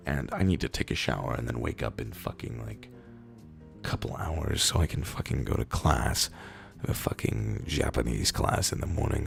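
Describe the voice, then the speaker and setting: A young man with an extremely deep voice, full of heavy fry and gravel. He reads aloud in a mock-serious, rambling way and swears freely. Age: young adult. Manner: foul-mouthed. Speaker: YouTube host reading internet posts aloud. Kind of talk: dramatic mock-serious reading and rambling commentary.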